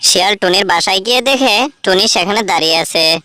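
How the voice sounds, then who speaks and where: A woman's voice: mid-range voice, fairly quick, pushed and bright, dry recording. A woman narrating a scripted children's story as a storyteller.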